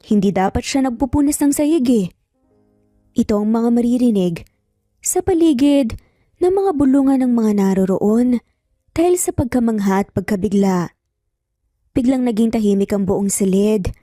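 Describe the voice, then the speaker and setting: Filipino lady telling a dramatic story, soft mellow voice, dry close sound, faint music behind. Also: fairly high pitch, dry recording. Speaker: story narrator. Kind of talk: scripted dramatic storytelling narration.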